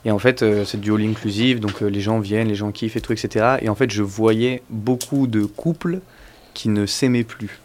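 Young male guest, low register, slangy, rambly. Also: fairly even intonation, moderate pace, a little hiss or hum. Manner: rambling, slangy, full of fillers and restarts. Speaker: musician guest. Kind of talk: casual interview chat.

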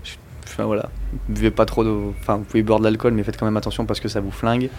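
Rambling, low-voiced young man. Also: level tone, quick pace, darkish tone, slight background noise. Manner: rambling, slangy, full of fillers and restarts. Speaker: musician guest. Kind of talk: casual interview chat.

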